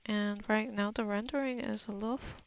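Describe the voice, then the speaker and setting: A woman's voice: medium pitch, fairly even intonation, brisk, clean tone, dry recording, lo-fi sound. A woman giving a casual, unscripted voice-over art tutorial, thinking aloud in a hesitant, murmuring way as she assesses her work. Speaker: digital art tutorial narrator.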